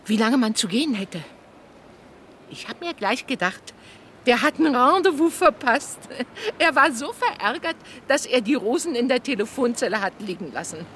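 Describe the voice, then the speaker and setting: A chatty, lively-voiced kiosk lady. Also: a little high, normal speed, a little hiss or hum. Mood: chatty, observant. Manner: chatty, matter-of-fact. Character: chatty, observant kiosk woman and housewife giving testimony. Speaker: actress playing a witness. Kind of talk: German radio crime drama acting.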